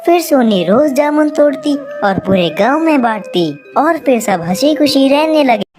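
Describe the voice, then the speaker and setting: A woman's voice: sits high, expressive, unhurried. A woman narrating a scripted moral story for children as the storyteller. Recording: clear music behind the voice.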